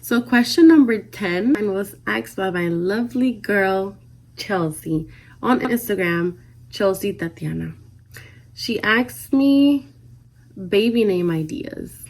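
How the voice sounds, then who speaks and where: A young woman in her twenties with a Dominican accent, chatting casually as she answers viewer questions in a vlog, often trailing off softly at the ends of her lines. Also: medium pitch, expressive, slow pace, rough recording. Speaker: vlogger.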